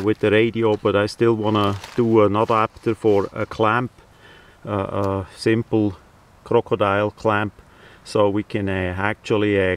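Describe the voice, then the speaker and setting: A man who speaks English as a second language, giving a casual hands-on demonstration outdoors with hesitant phrasing. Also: lowish voice. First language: not English (non-native speaker). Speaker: hobbyist video maker. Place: outdoors, beside a tarp on the ground.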